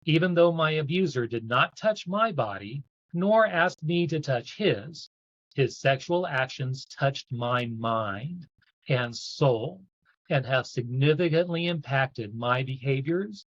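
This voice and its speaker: A man hosting an event, reading a written personal account aloud in a measured, earnest way. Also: medium pitch, animated, dry recording. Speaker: event host reading a submitted story aloud. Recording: dull, lo-fi, dry sound.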